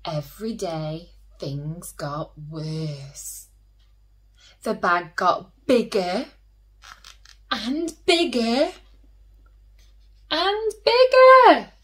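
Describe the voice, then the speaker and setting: A woman who teaches and hosts story time, reading a picture book aloud to children in an expressive way, drawing out key words and dropping into hushed asides. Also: medium pitch. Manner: slow, animated storytelling with drawn-out emphasis and hushed asides.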